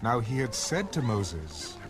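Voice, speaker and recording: A man's voice: medium pitch, big pitch swings, slow pace. A man reading scripture as the narrator of a dramatized audio Bible. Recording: music bed under the voice. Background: music.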